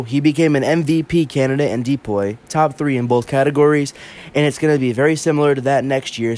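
A man's voice: medium pitch, a little mellow. A congested man hosting his own show, delivering an opinionated, emphatic sports monologue. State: congested from allergies. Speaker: podcast host.

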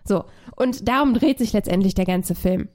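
A woman's voice: mid-range voice, moderate pace, darkish tone. Woman with a cold chatting about a film. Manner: rambling, many fillers and restarts. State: has a cold. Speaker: podcast co-host. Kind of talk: casual film review chat.

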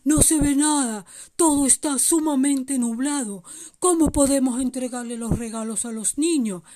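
A woman acts out a kindly old male Santa in a children's tale. The voice is high for the part. The manner is jolly, hearty and reassuring. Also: moderate pace, mellow, close to an everyday voice. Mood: worried. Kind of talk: children's storytelling character voice.

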